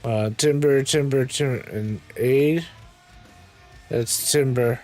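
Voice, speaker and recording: A man with a raspy voice, casually commenting to himself as he plays a game, clearing his throat now and then. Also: mid-range voice, slow, darkish tone, faint music behind. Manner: muttering to himself while playing, frequent ums and throat-clearing. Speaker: game streamer.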